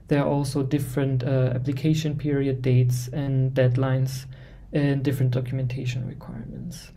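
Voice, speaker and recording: A young man presenting a university admissions webinar over a video call, explaining steps in a measured way with frequent fillers. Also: medium pitch, vocal fry, warm tone.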